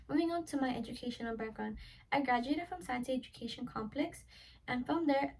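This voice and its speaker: A woman's voice: on the high side, a little mellow, a little hiss or hum. An eighteen-year-old woman, a college student whose first language is Spanish, giving an earnest prepared introduction speech and often wrapping up with "so yeah.". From United States. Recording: voice a little far from the mic.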